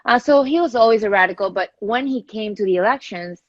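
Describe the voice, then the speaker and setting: A woman's voice: medium pitch, rapid-fire, no room sound, muffled recording. A woman in her twenties from Venezuela, talking in a thoughtful, chatty way as a guest on a live chat. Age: 20s. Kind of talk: casual interview. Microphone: phone on a live stream.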